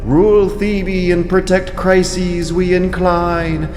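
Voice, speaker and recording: A man playing an old priest praying loudly and solemnly in chanted verse, in an audio drama. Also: sits high, level tone, takes it slowly, reverberant, long reverb tail, faint music behind, voice pushed up. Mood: fervent, vengeful. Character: old male priest invoking his god with fervor.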